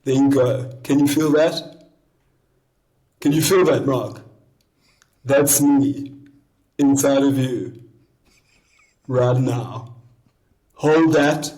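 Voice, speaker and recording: A comedian voicing a self-styled male spiritual guru, speaking slowly and solemnly as if giving blessings. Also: medium pitch, warm tone, rough recording. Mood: serene, beatific. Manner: slow, intimate, solemn blessing tone. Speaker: comedian in character.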